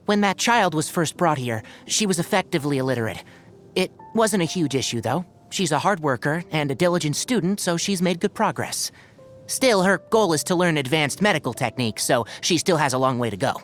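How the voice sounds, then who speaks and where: A man voicing a wry, caring young forest ranger with fox ears in a video game, articulate and gently didactic, with a dry delivery. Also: on the high side, animated, fairly quick, faint music behind. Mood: earnest, advising. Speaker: voice actor. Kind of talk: scripted video game character voice lines.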